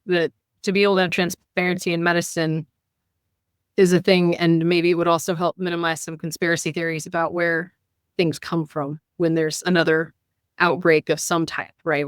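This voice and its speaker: Participant, woman, even and smooth, measured remark. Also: low-pitched, no echo, studio quality. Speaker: participant commenting.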